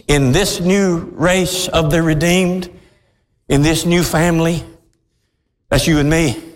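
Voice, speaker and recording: A middle-aged pastor preaching a sermon with passion, often shouting and laughing as he speaks. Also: on the high side, a little hiss or hum. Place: church sanctuary. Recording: long hall reverb.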